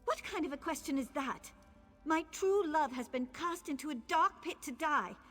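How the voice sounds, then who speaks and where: A woman's voice: high-pitched, lively intonation, moderate pace, fairly bright tone. An actress playing a lovelorn video game woman who frets over her true love. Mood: anxious lament. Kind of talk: video game character acting. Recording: long hall reverb, faint music behind.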